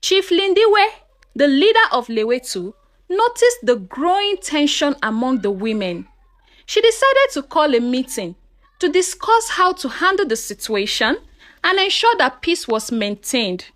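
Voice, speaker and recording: A woman's voice: high voice, big pitch swings, measured pace, fairly bright tone. A woman narrating a scripted folktale in a dramatic storytelling manner, posing questions to her listeners.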